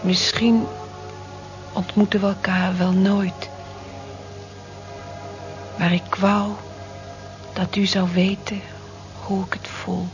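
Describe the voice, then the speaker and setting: An actress playing a wistful, disillusioned young woman who reads her letter aloud intimately and haltingly in a radio drama. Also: medium pitch, flat delivery. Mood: tender, confiding. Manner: slow, halting, intimate delivery. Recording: dull lo-fi radio play recording, music behind.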